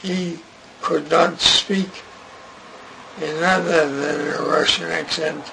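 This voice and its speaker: An older man recalling his radio career in an interview, speaking haltingly and restarting often. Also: on the high side, fairly even intonation, vocal fry. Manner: slow, halting, with many restarts. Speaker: interviewee, radio writer and director. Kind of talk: reminiscing interview. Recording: noisy recording.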